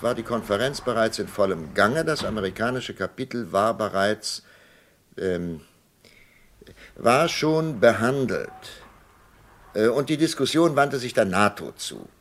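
An actor playing a commanding ex-spymaster boss in a German radio crime drama, authoritative but halting with small hesitations. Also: male voice, medium pitch, very animated. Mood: deliberate dictating. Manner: authoritative, hesitant pauses with filler sounds. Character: commanding male boss, ex-spymaster dictating his memoirs.

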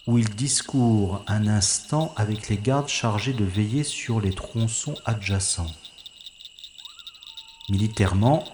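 In a reverberant space with faint noise, a man acts out a secret agent in a science-fiction audio drama. He talks himself through a covert mission slowly and deliberately. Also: on the low side, a little mellow. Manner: deliberate, narrating his actions to himself.

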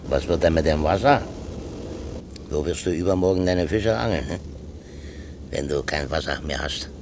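A very low, gruff older man's voice, over clear background vehicle noise. He is a stubborn grumbler in a radio drama, curt and bossy in his remarks. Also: normal speed. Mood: grumbling. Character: grumbling, stubborn old man who poaches fish. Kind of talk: German radio play dialogue.

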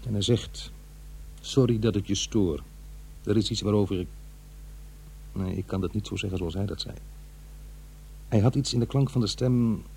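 An actor playing an uneasy, defensive middle-aged divorced father in a radio drama, confiding in a halting, conversational way. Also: fairly low pitch, gravelly, a little mellow. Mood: agitated, rueful. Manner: halting, hesitant, self-interrupting. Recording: faint noise and hum.

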